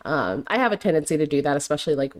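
A young adult woman hosting a casual solo podcast, talking loosely with lots of fillers, her voice going a little raspy. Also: deep voice, animated, rapid-fire. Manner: rambling, heavy on fillers and "like". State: slightly raspy voice. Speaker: podcast host.

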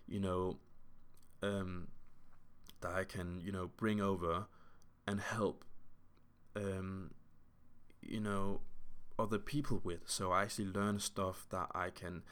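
A man's voice: low voice, level tone, takes it slowly, long pauses, fairly bright tone, smooth. A man in his twenties hosting a solo podcast, talking in an earnest, reflective way with many fillers and restarts. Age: 20s. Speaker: podcast host.

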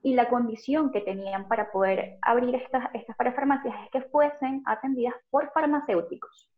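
A woman's voice: fairly high pitch, fairly quick. A Venezuelan woman, a pharmacist, telling her story as a guest in a conversational interview. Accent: Venezuelan Spanish. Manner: fluent, lively storytelling with laughs. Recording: narrow phone-like video call audio.

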